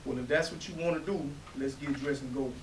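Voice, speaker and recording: A man's voice: medium pitch, brisk, lightly acted. Echoey, cocky young man played by an actor. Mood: coaxing. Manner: slangy, pushy, informal. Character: restless, cocky, easygoing young man, slangy joker. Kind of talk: stage readers theatre acting. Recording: echoey room, distant mic, faint noise.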